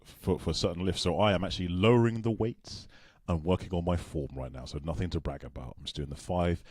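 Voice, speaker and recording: A man with a very low voice talks fast in a relaxed chat over a video call. He jokes and rambles, restarting his sentences as he goes. The sound is dry and close. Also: dry recording. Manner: quick, joking, rambling with restarts. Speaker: podcast co-host. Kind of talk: casual chat between friends.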